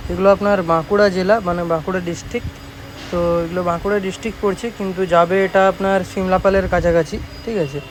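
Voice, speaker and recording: A man talks quickly in a high voice, presenting his workshop's products in a casual vlog style. He circles back over his points and keeps checking that the listener follows. Some street-like noise sits behind him. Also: low-quality recording. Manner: repeats himself, often tags statements with check-in questions. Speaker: speaker maker presenting shop's work.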